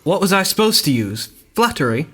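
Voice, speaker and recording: An actor playing a cheeky, shameless, protesting shoemaker of olden times in a comic audio drama. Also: male voice, high voice, sing-song, a little fast, sharp tone, breathy edge, heavily acted.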